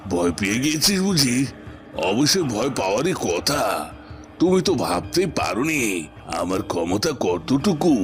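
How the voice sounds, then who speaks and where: A menacing cartoon sorcerer, speaking in a low register that swings dramatically. He taunts and boasts theatrically, with loud music playing behind him. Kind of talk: Bengali cartoon villain acting.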